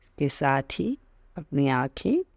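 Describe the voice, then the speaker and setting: A man leading a guided meditation as an instructor, speaking calmly in short measured phrases with gentle pauses. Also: medium pitch, vocal fry, no echo. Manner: mixes English words into Hindi, repeating terms in both.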